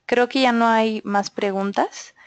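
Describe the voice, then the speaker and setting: A woman moderating a web seminar over a call, polite and filling her pauses with "eh". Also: fairly high pitch, steady tone, dry recording. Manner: polite, with frequent "eh" fillers. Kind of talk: webinar moderation.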